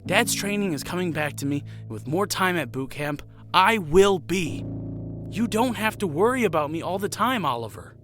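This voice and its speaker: A man's voice: high-pitched, lively intonation, normal speed, a bit bright. An actor playing an earnest, unsure young male guard recruit in a fantasy audio drama, with a hesitant, sincere, boyish manner. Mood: earnest, caring. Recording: music under the voice.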